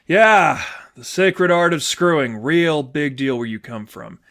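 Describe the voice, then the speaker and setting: A man's voice: fairly high pitch, lively intonation, a little slow, a bit bright. A man voicing an upset teenage girlfriend, wounded and bitter, with emphatic, sarcastic delivery in a fan fiction audiobook.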